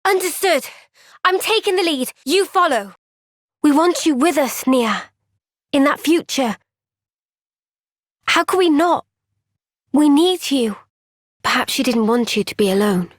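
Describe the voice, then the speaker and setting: A young fighter girl in a game cutscene, high pitched, unhurried, sincere and firm, really dry sound. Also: lively intonation, no echo. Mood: resolute, then sincere, earnest. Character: earnest, gentle but firm young woman fighter. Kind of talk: video game cutscene voice acting.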